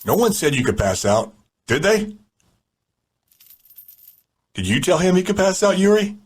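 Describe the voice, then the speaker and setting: A man's voice: high voice, sing-song, measured pace, husky, exaggerated voice. A man playing a sadistic gang boss and interrogator in an audio drama, mocking, menacing and controlled. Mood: taunting, angry.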